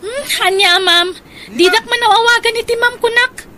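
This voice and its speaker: A woman's voice: very high-pitched, moderate pace, a crowd in the background. A woman playing a hardworking market-vendor mother in a radio drama, with an Ilocano accent. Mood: friendly, bustling. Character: hardworking mother who sells onions and garlic.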